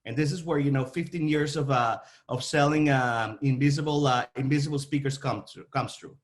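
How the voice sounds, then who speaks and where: A man answering interview questions about his trade in a halting, repetitive way, searching for his words as he explains. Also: mid-range voice. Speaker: audio-visual installation company representative.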